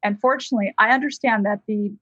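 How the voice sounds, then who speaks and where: An American woman, a licensed counselor, telling a personal story as a podcast guest in a lively, conversational way. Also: mid-range voice, fast talker, no echo, muffled recording. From United States.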